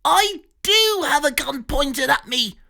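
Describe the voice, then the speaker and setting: A high, nervous talking pig with the manner of a genteel British gentleman. His voice is bright and swoops all over as he frets and exclaims. It is an audiobook-style storytelling figure. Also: animated, unhurried, full character voice. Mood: frantic. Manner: fussy, jittery, posh exclamations.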